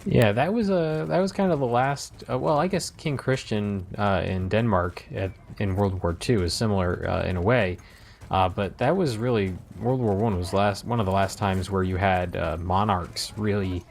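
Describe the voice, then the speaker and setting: A man with an American accent gives casual, reflective commentary on history in a halting way. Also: fairly low pitch, gravelly, slight background noise. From United States.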